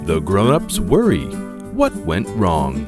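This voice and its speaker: A man reading a children's picture book aloud in an expressive narrating style. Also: low-pitched, slow pace, over music. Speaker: storybook reader.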